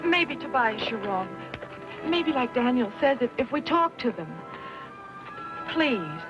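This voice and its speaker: A woman's voice: on the high side, big pitch swings, slow pace. An actress playing a timid, pleading young frontierswoman in an old western radio drama. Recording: muffled radio recording with music behind.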